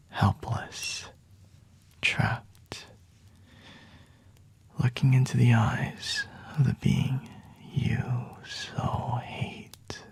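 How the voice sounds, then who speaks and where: A man playing a flirty, teasing, predatory vampire in an ASMR roleplay, with a breathy, husky whisper and intimate delivery. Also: on the low side, darkish tone, dry recording, exaggerated voice. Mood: taunting, curious.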